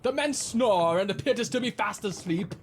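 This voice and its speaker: Man doing stern old-timey first mate in a radio play, commanding, high pitched, slight background noise. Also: expressive, normal speed. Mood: indignant. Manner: archaic, pompous, commanding. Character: stern, authoritarian old-time sailing ship first mate. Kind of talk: period audio drama acting.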